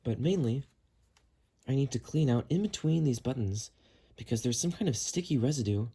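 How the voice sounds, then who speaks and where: Guy doing a casual diy walkthrough, mellow mid-pitched voice, explains stuff while he works. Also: expressive, moderate pace, breathy edge. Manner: explanatory, talking while working with hands. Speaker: host of a how-to cleaning video. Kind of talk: casual hands-on tutorial narration.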